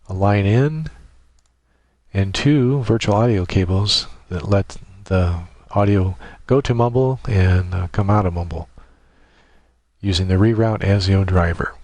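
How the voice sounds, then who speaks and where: Low-voiced man giving a software tutorial. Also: dry recording. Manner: informal, halting step-by-step explaining. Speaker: tutorial presenter. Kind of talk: casual software tutorial narration.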